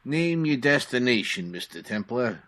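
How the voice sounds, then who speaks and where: A man's voice: medium pitch, sing-song, normal speed, a bit bright, a little hiss or hum. A man playing a loyal, wry 1940s cab driver with colloquial, streetwise phrasing, in old-time radio comedy style.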